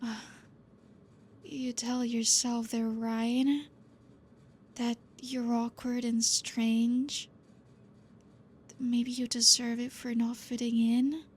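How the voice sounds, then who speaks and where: A woman voicing a gentle, possessive female vampire professor in an ASMR roleplay, talking softly and coaxingly and slipping into whispers. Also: a little high, little pitch movement, slightly rough. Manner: soft, slow and coaxing, drifting into whispers.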